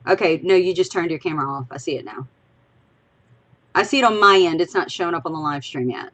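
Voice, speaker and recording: A woman's voice: on the low side, fast, a bit bright, a little hiss or hum. A woman from Louisiana with a Southern accent, co-hosting a casual livestream, rambling chattily and a bit tipsy. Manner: chatty, rambling, giggly asides. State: tipsy from drinking. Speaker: podcast co-host.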